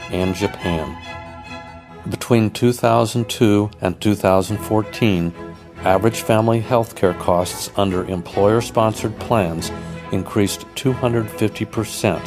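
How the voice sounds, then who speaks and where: Deep-voiced man narrating over music. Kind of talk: scripted documentary narration. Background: instrumental music with guitar or strings.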